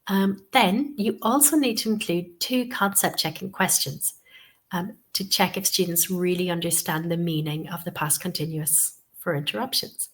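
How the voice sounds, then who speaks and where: A woman with a slightly low voice and a sniffly cold leads an online teacher-training webinar. She explains things patiently, keeps checking in with "okay" and comes back to the same points more than once. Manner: patient, repeats key points, many "okay"s and "um"s. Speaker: TEFL course tutor. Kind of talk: webinar instruction.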